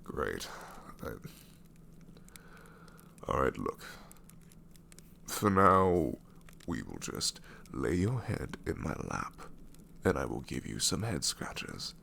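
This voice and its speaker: Male VA: ancient shadow demon, sassy and grumbling, very low voice, lively intonation, close-mic ASMR. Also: takes it slowly, gravelly, pauses often, full character voice. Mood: reluctant soft. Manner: sarcastic, incredulous, grumbling. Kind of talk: ASMR roleplay audio.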